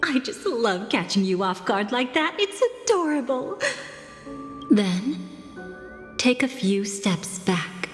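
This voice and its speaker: A woman's voice: on the high side, big pitch swings, slow pace, pauses often, echoey room, long reverb tail. An actress voicing an enigmatic, teasing young woman in a video game, speaking with coolly theatrical composure. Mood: playful, intrigued. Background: game music.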